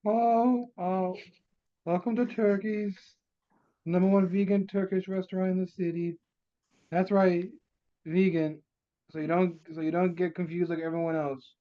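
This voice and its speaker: A man's voice: sits high, flat delivery, a little slow. A man playing a chatty, rambling waitress in a comedy table read, recorded with dull lo-fi sound. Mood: perky, rambling. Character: chatty, rambling restaurant waitress turned weapons specialist. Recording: dull lo-fi call audio.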